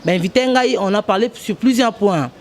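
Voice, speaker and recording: Congolese woman journalist, interview guest, forceful and halting, mixes French with Lingala. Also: medium pitch. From DR Congo, Kongo Central. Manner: emphatic, hesitant, switches between French and Lingala.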